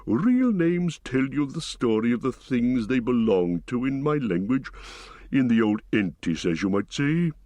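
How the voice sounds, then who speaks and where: A man voicing an ancient, slow and thoughtful tree-shepherd in an audiobook, musing and humming as he speaks. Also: mid-range voice, sing-song, dark tone, no echo. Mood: unhurried. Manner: slow, deliberate, musing with hums. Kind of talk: audiobook character reading.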